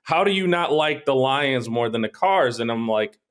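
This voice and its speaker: A man's voice: medium pitch, expressive, moderate pace, fairly bright tone. Brooklyn-raised guy joking about himself, easygoing chat. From Brooklyn, New York. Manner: playful, self-mocking. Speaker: podcast guest, film director. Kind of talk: casual chat.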